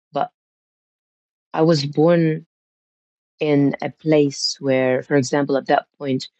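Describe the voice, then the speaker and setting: A woman's voice: very deep voice, mellow, no room sound. A woman from Syria, a podcast guest, recounting her family history in a reflective, conversational way with frequent restarts. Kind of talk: conversational interview. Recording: muffled lo-fi sound.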